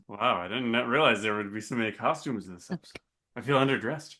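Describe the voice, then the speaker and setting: A man with a bright, forward voice at a middling pitch. He chats casually as a podcast co-host, trading jokes. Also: expressive, fast talker. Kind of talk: casual chat, joking banter.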